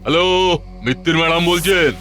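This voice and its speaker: A fast-talking, scheming gang boss. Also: male voice, fairly high pitch. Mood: scheming, boastful. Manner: menacing, wisecracking. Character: scheming male gang boss, kidnapper demanding ransom. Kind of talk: comic cartoon dialogue. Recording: loud music bed under the voice.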